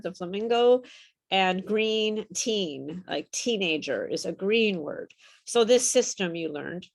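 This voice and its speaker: Slow, patient woman teaching pronunciation. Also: medium pitch. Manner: patient, encouraging, explains with repeated example words. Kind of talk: online group pronunciation lesson.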